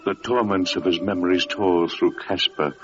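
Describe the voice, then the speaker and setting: Grave storyteller played by a man for a radio legend: deepish, literary, dramatic, with dated dull recording. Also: fairly even intonation, music in the background, lightly acted. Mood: grave, intense. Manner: solemn, dramatic, measured literary phrasing. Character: solemn storyteller narrating a biblical legend. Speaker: narrator. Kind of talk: 1940s-style radio drama narration, elevated prose.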